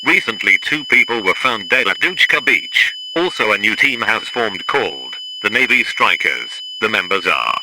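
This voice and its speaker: A man's voice: on the low side, sing-song, moderate pace, hardly any pauses. A man reading a scripted emergency broadcast announcement in a formal, official manner. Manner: formal, official-sounding delivery. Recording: noisy alert broadcast with hum.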